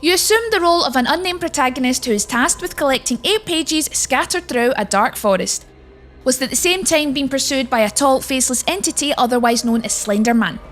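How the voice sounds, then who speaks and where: A woman's voice: high voice, expressive, fairly quick, a bit bright, soft background music. A woman narrating a scripted video game list as its presenter.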